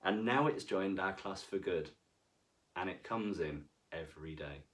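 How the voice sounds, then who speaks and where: A man reading to children as a storyteller, with expressive, deliberate and dramatic phrasing. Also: low voice. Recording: voice far from the mic.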